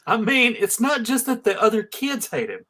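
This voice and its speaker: High, bright-voiced man from Alabama, exclamatory. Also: sing-song, normal speed. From Alabama, United States. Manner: exclamatory, joking. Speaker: host of a fan convention panel. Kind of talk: casual animated panel chat.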